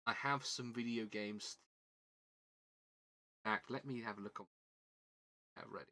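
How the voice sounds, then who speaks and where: A man's voice: on the low side, steady tone, a little slow, bright, forceful tone. A man with a southern British English accent chatting casually as a livestream host, rambling and self-deprecating, often repeating his words. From southern England. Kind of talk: casual livestream chat while building a model kit.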